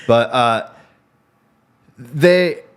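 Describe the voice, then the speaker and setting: A man co-hosting a casual news discussion show, talking in quick rambling bursts with frequent restarts. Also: mid-range voice, clear, resonant tone. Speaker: co-host.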